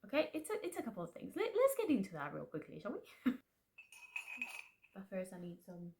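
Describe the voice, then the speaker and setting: A woman's voice: medium pitch, wildly swooping pitch, brisk, darkish tone, a little hiss or hum. Chatty self-deprecating young woman vlogger. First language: Spanish. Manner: chatty, self-deprecating, restarts and laughs often. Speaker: aspiring author and channel host. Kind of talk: casual confessional vlog.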